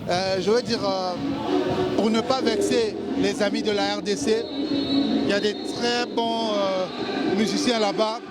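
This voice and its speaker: A man's voice: high-pitched, steady tone, a little slow, loud music behind the voice, poor audio. A man speaking casually in an interview, hesitantly searching for words. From Republic of the Congo. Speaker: event guest being interviewed. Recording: loud crowd noise.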